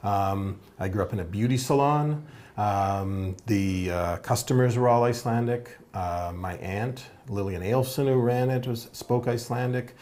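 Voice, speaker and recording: Deep-voiced canadian guy telling wry stories from his childhood. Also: a little slow, a little mellow. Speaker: interviewee. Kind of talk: casual storytelling.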